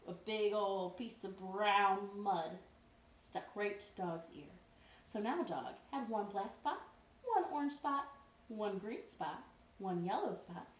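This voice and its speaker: A woman hosting a storytime for young children, reading aloud in an expressive, playful way with drawn-out words and questions to her listeners. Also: medium pitch. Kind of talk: animated picture-book read-aloud for children. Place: living room at home. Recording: roomy, distant mic with faint noise.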